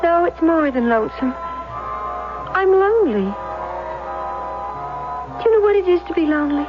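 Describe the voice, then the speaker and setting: High-voiced, lonely young waitress. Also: female voice, very animated, normal speed. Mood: yearning, lonely. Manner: hesitant, earnest. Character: lonely, naive young immigrant waitress, timid and yearning. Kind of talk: 1970s American radio drama acting. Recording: dull old radio recording, loud music.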